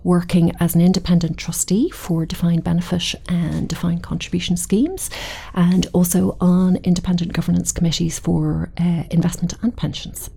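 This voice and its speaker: A middle-aged woman with a Dublin Irish accent, answering podcast interview questions in a measured, thoughtful way with occasional restarts. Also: fairly low pitch, little pitch movement, vocal fry, dark tone, husky. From Ireland. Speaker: guest, committee chair.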